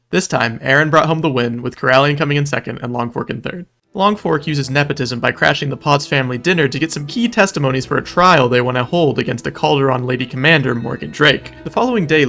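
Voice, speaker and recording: A man's voice: on the high side, vocal fry, fairly bright tone. A man narrating a scripted comedic recap in a wry, informal, mock-epic storytelling way. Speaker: video narrator.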